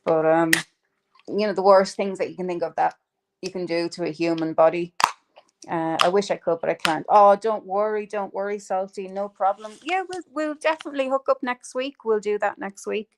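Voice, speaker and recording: A woman hosts a relaxed live stream, chatting to her audience. She rambles easily, full of ums, restarts and quick laughs, at a middling pitch. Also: slight background noise. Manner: chatty, lots of fillers and laughs. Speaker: livestream host. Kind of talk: casual chat on a live stream.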